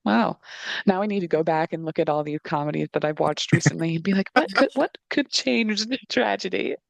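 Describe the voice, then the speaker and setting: A woman's voice: on the low side, brisk, gravelly, dry recording. A woman in her thirties asking casual interview questions on a video call. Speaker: interviewer, podcast producer. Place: indoor room, video call. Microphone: computer video call, wearing headphones.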